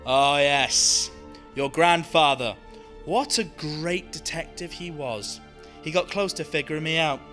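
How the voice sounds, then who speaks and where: Man playing a smug male killer, mocking, theatrical, highish voice, swooping intonation, radio play villain, music underneath. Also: sharp tone. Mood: gloating. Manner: mocking, taunting, drawn-out menace. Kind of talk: amateur radio play acting.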